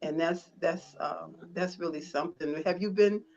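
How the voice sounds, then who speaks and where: A woman's voice: lowish voice, normal speed, gravelly, darkish tone. A woman hosting an interview show, asking questions in a casual, rambling way with restarts. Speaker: host. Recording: dull lo-fi sound.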